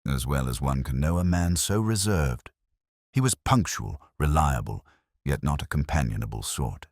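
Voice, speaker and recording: A man's voice: a bass voice, very animated, normal speed, no room sound, clean studio sound, heavily acted. A man voicing a prim, self-assured middle-aged woman treasurer who speaks in a composed, precise, faintly indignant way.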